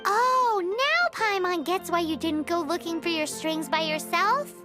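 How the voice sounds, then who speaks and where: Tiny chirpy fairy girl, super high, music playing behind. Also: lively intonation, measured pace. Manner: blunt, chirpy, talks of herself by name.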